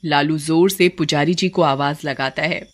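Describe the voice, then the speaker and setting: A woman's voice: low-pitched, steady tone, measured pace, noisy background. A woman reading scripted story narration as the narrator.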